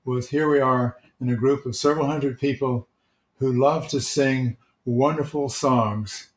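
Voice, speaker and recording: A church elder shares memories in an unhurried, gentle way, his mid-range male voice steady and warm in delivery. The recording carries a noticeable room echo around him. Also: mid-range voice. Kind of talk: casual storytelling. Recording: roomy, echoey sound.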